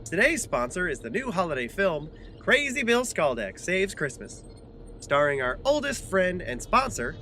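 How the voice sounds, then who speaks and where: A man acts as a sentimental, naive alien sidekick in a comedy audio play. The voice is bright and high for a male, earnest and gushing, with lively swings of pitch. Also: moderate pace, a little hiss or hum, heavily acted. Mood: chatty, excited. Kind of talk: comic audio drama acting.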